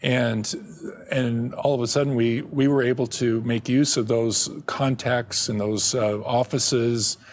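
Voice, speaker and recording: Soft-spoken older man, halting studio guest. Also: mid-range voice, fairly even intonation. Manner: halting, with many restarts and fillers. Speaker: interview guest, ministry director. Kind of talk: candid interview conversation. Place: television studio set. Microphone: lapel mic.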